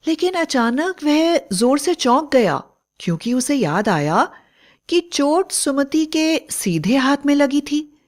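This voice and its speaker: A woman storyteller reading a scripted bedtime fairy tale as narrator. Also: medium pitch.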